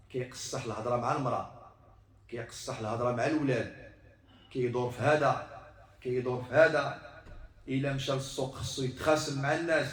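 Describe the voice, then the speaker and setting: A man gives a mosque sermon in a fairly high voice. He is heard in a very roomy, echoing space, a little away from the microphone. His delivery is emphatic and rhetorical, leaning on repetition to drive each point home. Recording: roomy echo, distant microphone.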